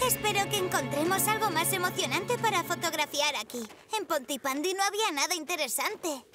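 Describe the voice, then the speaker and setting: Very high, headstrong little girl. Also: fairly quick, over loud music. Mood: eager. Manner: bossy, excitable, wheedling. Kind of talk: animated children's cartoon dub.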